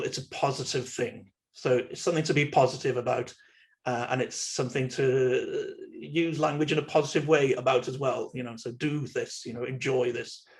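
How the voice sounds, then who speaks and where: A Welsh man whose first language is Welsh, giving an online university lecture in a measured, thoughtful way with frequent ums and uhs, recorded on a computer microphone. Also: medium pitch. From Wales.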